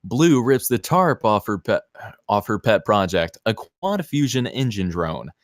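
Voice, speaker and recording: A man's voice: on the low side, very animated, a little slow, dry recording, studio quality. A man narrating screenplay stage directions at a table read in a dramatic, punchy way.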